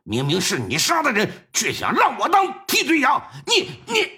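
A man voicing a hot-headed young male prisoner who curses and shouts in a told story. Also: very high-pitched, huge pitch swings, moderate pace, pitched above the performer's own voice, full character voice. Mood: furious. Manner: shouting, cursing.

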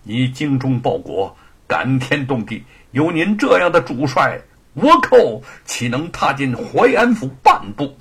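Guy doing a loyal blunt old militia man, super animated, gravelly, historical tale, impassioned. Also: medium pitch, a little slow, exaggerated voice. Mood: loud admiration. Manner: impassioned, emphatic. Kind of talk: dramatised Chinese historical storytelling.

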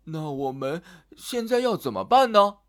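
A man's voice: a little high, big pitch swings, unhurried. A man voicing an impatient male lump of coal in a children's storybook tale, speaking urgently. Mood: worried. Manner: breathless, urgent, loud.

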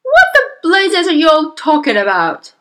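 Blustering, vain father for children's story acted by a woman: loud indignation, high and extremely animated. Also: a little slow, exaggerated voice. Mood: indignant. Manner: yelling, indignant. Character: blustering, vain, panicking middle-aged father.

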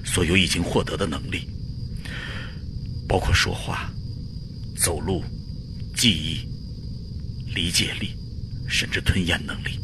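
A man acting a desperate middle-aged aerospace engineer in a radio drama, pleading emotionally and haltingly for his child. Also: deep voice, steady tone, background noise. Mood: anguished, pleading.

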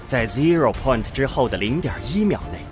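A man narrating an audio drama from a script in a deliberate, dramatic storytelling manner. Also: medium pitch, big pitch swings. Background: music.

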